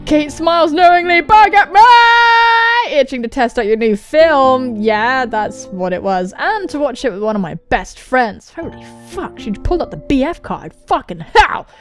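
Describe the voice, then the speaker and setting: A young woman hosts a let's play over soft background music. Her voice sits fairly high and swings widely in pitch as she reads game dialogue aloud. She adds jokey, animated asides and swears freely. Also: a little slow. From England. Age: young adult. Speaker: gaming video host. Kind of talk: let's play commentary and dramatic reading of on-screen dialogue. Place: indoor room. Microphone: large microphone close to the mouth.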